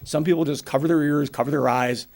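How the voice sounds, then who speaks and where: A man in his forties with a New England accent, giving a brisk, plainspoken financial presentation to a town board and explaining with everyday examples. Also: on the high side, fairly even intonation, creaky, a little mellow. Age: 40s. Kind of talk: financial presentation at a public meeting.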